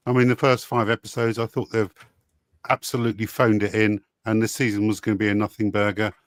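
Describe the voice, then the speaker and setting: A man's voice: lowish voice, little pitch movement, a little fast, no room sound, studio quality. A man giving his opinions casually as a panellist on a pop culture show.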